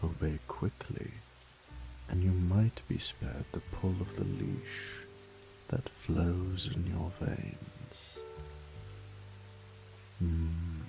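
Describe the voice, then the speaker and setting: A very low, slow voice of an ancient vampire lord played by a man, murmuring with condescending menace. He is possessive and cruel in a mock-tender way, as in a horror audio drama. The tone is breathy and gravelly. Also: music in the background, full character voice, poor audio. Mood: haughty displeasure.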